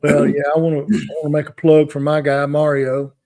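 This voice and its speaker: A man in his sixties talking off the cuff on a podcast, with a halting, folksy, earnest manner. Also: on the high side, flat delivery, warm tone. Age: 60s. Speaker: podcast co-host. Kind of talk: unscripted talk, opinion and testimonial.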